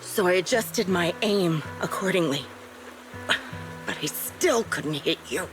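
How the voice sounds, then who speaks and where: An actress playing a bitter, vengeful, dying veteran sniper in a video game, speaking in a grave, halting way. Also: female voice, on the low side, animated. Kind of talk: dramatic video game voice acting. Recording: music behind the voice.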